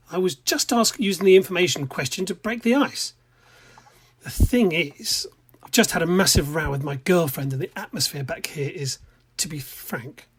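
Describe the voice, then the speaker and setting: Man acting a pushy passenger, high husky voice. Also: full character voice. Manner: wheedling, then confrontational. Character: pushy hungry male passenger from the next carriage. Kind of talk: amateur radio drama acting.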